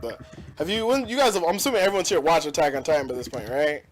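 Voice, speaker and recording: A man's voice: on the high side, big pitch swings, racing. A young man hosting a casual gaming podcast, rambling and opinionated with lots of fillers. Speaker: podcast host. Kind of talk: casual chat.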